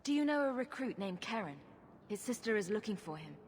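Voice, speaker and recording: An actress playing a composed adventurer heroine, direct and dry, in a fantasy video game. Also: female voice, medium pitch, big pitch swings, brisk, a little hiss or hum, lightly acted. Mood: direct, inquiring.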